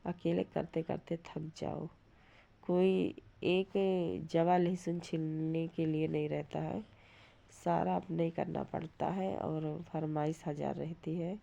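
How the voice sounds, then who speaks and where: A woman with a hoarse voice from a cold, giving a plain, rambling, casual voice-over for a home cooking vlog. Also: sits low, flat delivery, slow, warm tone. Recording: dull lo-fi sound, light background noise.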